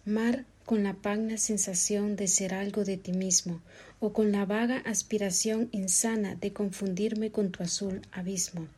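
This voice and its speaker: A woman's voice: medium pitch, mellow, husky. A woman introducing herself and reciting a poem expressively. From Honduras. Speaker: poetry reader. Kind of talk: poetry recital.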